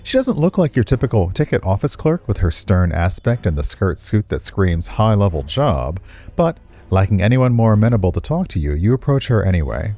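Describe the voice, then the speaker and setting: Expressive man, low mellow voice. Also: brisk, soft background music. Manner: chatty, expressive, reacts with asides to what is read. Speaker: streamer, let's player. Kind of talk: casual commentary while reading a visual novel aloud.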